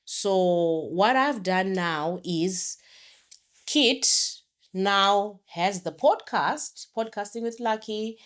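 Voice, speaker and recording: A woman's voice: medium pitch, drawn-out words. A middle-aged woman from Zimbabwe hosting a solo podcast, chatty and promotional, often correcting herself as she talks. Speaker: podcast host.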